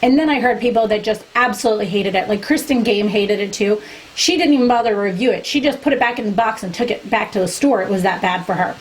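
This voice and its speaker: A woman's voice: mid-range voice, fast talker, a little hiss or hum, low-quality recording. A woman reviewing a makeup product to camera for her vlog in a chatty, candid way, losing her voice. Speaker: beauty vlogger.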